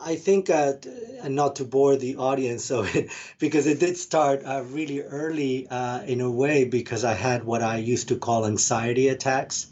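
A man's voice: mid-range voice, steady tone, normal speed, a little mellow, breathy edge. A middle-aged man from Argentina telling his own story reflectively as an interview guest, often restarting as he thinks. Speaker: podcast guest, meditation teacher and professor. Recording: slightly dull, band-limited sound.

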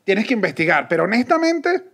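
A Venezuelan man with a Venezuelan accent, co-hosting a casual chat, blunt and animated, full of slang. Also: high-pitched, a little fast. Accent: Venezuelan Spanish. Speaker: podcast co-host.

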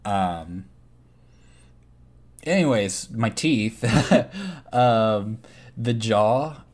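A man's voice: on the low side, lively intonation, extremely slow, a little mellow. A young man from Texas with a slight Texas twang, a podcast co-host in rambling casual chat. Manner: chatty, rambling, looks things up mid-talk.

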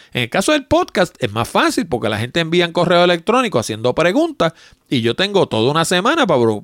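A man's voice: fairly high pitch, no echo, studio quality. A man in his sixties with a Puerto Rican accent, hosting a tech podcast and answering listener mail in a chatty, explanatory way. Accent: Puerto Rican Spanish. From Puerto Rico. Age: 60s. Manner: chatty, explanatory, plain-spoken.

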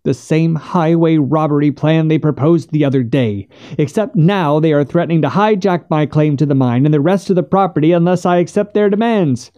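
Man voicing a high-pitched, defiant distraught widow. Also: mellow, close to an everyday voice. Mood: bitter defiance. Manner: indignant, pleading, emphatic. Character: defiant, distraught widow guarding her land with a shotgun. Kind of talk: audiobook character voice.

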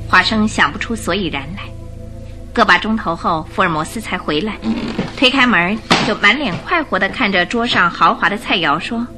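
A woman giving expressive, theatrical storytelling narration for a radio drama. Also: mid-range voice, moderate pace, over music.

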